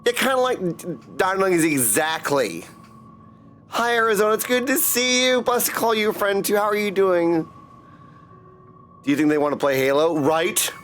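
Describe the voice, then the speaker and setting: A man's voice: high voice, expressive, moderate pace, a bit bright, faint music behind. A man livestreaming a game, chatting casually and greeting viewers as they drop in.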